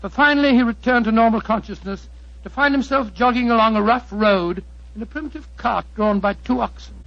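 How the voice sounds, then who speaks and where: Earnest British doctor-narrator, fairly high voice, dramatic storytelling, muffled old radio recording. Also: male voice, fairly quick, vocal fry, a bit bright, no room sound, heavily acted. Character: earnest English doctor recounting his detective friend's adventure. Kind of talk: dramatic scripted narration, 1940s radio acting. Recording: dull 1940s radio recording.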